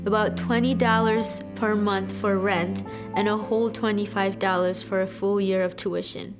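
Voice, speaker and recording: A teenage girl from Ethiopia, a student, narrating a scripted video in an earnest, measured way. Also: mid-range voice, flat delivery. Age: teens. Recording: muffled, over guitar music.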